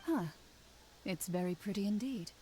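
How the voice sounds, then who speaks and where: A woman's voice: mid-range voice, lively intonation, normal speed, heavy background noise, natural voice. An actress voicing a cool, formal, matter-of-fact female bodyguard in a video game. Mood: cool, composed. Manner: formal, composed.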